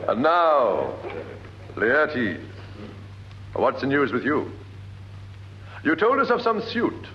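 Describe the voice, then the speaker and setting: A British actor playing a smooth, commanding king in a declamatory classical Shakespearean radio drama. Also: medium pitch, huge pitch swings, slow pace. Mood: gracious, coaxing. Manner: stately, declamatory, rhetorical. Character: smooth, commanding new king addressing his council. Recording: dull, noisy vintage radio recording.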